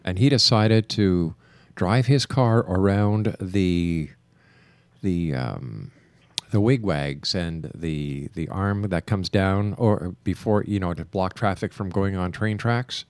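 Male radio host, lower-pitched, dry close sound, measured and emphatic interview delivery. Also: smooth, no room sound. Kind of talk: radio interview with broadcast announcements.

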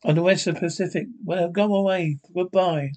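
A man voicing an irritable, suspicious small-town housewife in a brusque, scolding way, with a husky voice. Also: high voice, steady tone, fairly quick, warm tone, lightly acted. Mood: irritated.

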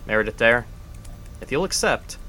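A stern foundation director. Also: male voice, medium pitch, expressive, moderate pace, bright, forceful tone, slight background noise. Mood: formal. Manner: stern, formal. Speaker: foundation head. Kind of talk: audio drama acting.

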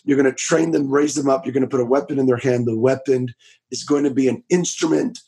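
A man in his thirties from Florida, a preacher telling his story in a casual interview, with a raspy voice, talking loud and fast with many restarts. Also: medium pitch, fairly even intonation. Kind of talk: casual testimony in a podcast interview.

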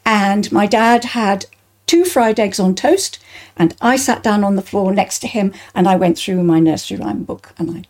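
Older English woman with a mellow voice. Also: medium pitch, expressive, moderate pace. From Bristol, England. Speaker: interview guest, actor. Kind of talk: conversational personal reminiscence.

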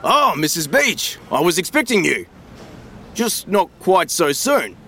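A man's voice: high-pitched, very animated, a little slow, sharp tone, slight background noise, heavily acted. An actor playing a matey, informal Australian businessman in an audio drama, with breezy slangy talk.

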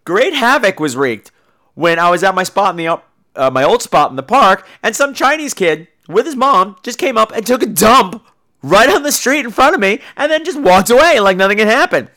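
A man's voice: high voice, sing-song, normal speed, sharp tone. An American man hosting a podcast, telling comic personal stories in a theatrical, campy and profane manner. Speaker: podcast host.

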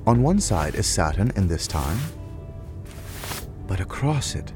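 Awkward bookish scholar, pedantic n formal, pitch moves a lot, music under him. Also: male voice, sing-song, measured pace, exaggerated voice. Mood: earnest explaining. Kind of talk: audio drama acting.

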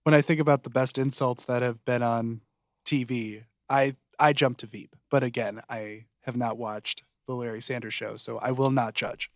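A man's voice: mid-range voice, steady tone, moderate pace, no room sound, studio quality. A man speaking as a podcast co-host in a casual conversation about television. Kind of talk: casual TV review podcast chat.

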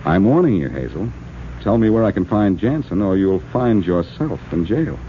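An actor playing a wry, tough, confident amateur detective with a wisecracking, pressing manner in a 1940s radio crime drama. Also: male voice, low-pitched, very animated, moderate pace. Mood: stern, pressing. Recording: noisy, dull old radio recording.

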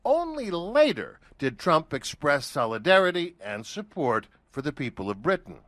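A man's voice: on the high side, sing-song, moderate pace, fairly bright tone, no echo. A man reporting the news in scripted narration.

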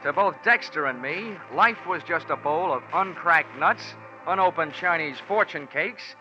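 An actor playing a wry, world-weary insurance investigator in a 1940s radio drama, deadpan and wisecracking, on a dull vintage recording. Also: male voice, fairly high pitch, unhurried, soft background music, heavily acted. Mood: wry narrating. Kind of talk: 1940s radio detective acting and narration.

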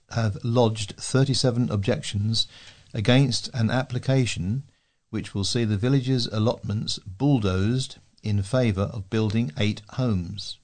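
A man with a fairly low voice reads the news from a script. He delivers prepared local reports in the role of a volunteer newsreader. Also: darkish tone.

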